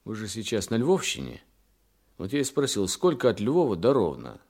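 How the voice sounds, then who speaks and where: A man acting in a radio drama as a calm, shrewd police investigator, polite but probing and dryly ironic. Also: on the low side, normal speed, exaggerated voice. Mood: probing, firm.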